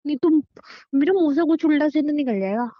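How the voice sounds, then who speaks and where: High-voiced exasperated young girlfriend , acted by a woman, scolding. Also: lively intonation, a little fast. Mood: irritated. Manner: scolding, insistent, repeats herself. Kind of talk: staged phone-call argument in casual speech. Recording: dull lo-fi call recording.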